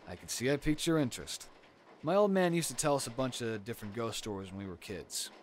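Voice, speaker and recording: Young boyfriend, played by a male actor, mid-pitched and expressive, reassuring and gently teasing, intimate comfort audio with light ambient noise. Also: fast talker, close to an everyday voice. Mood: teasing, reminiscing. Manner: soft reassuring talk with sighs and teasing.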